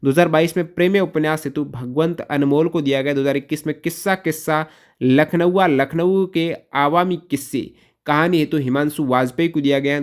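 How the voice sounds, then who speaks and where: Male exam-prep teacher. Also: a little high. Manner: instructional, repeats key answers and poses questions to himself. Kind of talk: question-by-question exam lesson.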